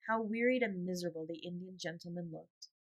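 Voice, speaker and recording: A woman's voice: on the low side, a little fast, low-quality recording. A woman reading a bedtime story as scripted audiobook narration.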